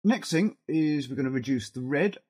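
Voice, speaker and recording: A middle-aged man with a cold and a fading, husky voice, talking haltingly through an informal step-by-step software tutorial and thinking aloud as he works. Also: a little high, animated. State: has a cold, losing his voice. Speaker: video editing tutorial presenter.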